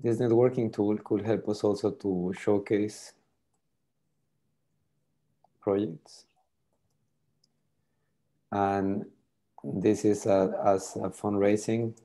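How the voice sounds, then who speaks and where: A man's voice: lowish voice, level tone, slow pace, long pauses, warm tone. A middle-aged man whose first language is French, explaining a mapping tool on a video call, often repeating words as he thinks. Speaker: tool demonstrator. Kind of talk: casual video call demo.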